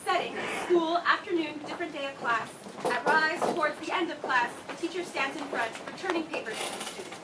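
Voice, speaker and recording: A woman's voice: high-pitched, a little fast. A woman reading out scripted stage directions at a live play reading. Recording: distant mic, very loud noise.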